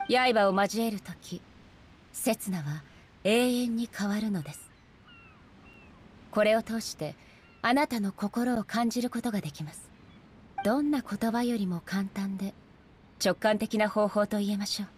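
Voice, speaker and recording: Solemn warrior ruler played by an actress. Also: female voice, mid-range voice, a little hiss or hum, close to an everyday voice. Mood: solemn, commanding. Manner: formal, measured, solemn. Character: stern, solemn, formal female warrior ruler. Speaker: video game voice actress. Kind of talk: Japanese game character voice acting.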